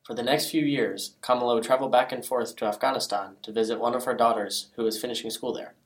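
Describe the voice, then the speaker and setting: A man reading scripted feature narration in a measured, explanatory way. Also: medium pitch, steady tone. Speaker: reporter, narrator.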